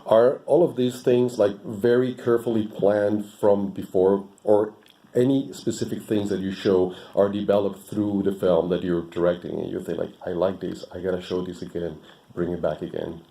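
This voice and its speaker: A man's voice: low-pitched, fairly even intonation, normal speed, creaky, warm tone. A man from Colombia, a native Spanish speaker, asks an informal, somewhat hesitant question as an audience member at a film Q&A.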